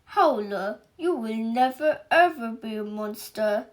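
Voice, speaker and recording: A woman voicing a stern ogre headmaster who booms out his verdict, in a storybook reading. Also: expressive, slow pace. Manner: booming, stern.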